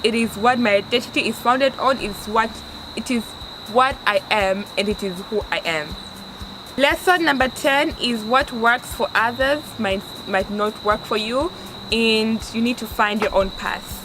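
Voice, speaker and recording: A woman's voice: fairly high pitch, unhurried. Earnest young Tanzanian woman, noisy background. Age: 20s. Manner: earnest, restarts often, stresses words for emphasis. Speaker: vlogger. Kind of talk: casual advice vlog. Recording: steady background noise.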